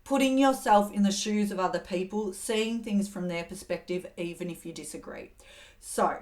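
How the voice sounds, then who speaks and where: A middle-aged woman hosts an advice talk. She coaches in an earnest, steady way at an ordinary mid pitch, now and then acting out calm phrases to say to an angry caller. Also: moderate pace. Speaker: host giving communication advice.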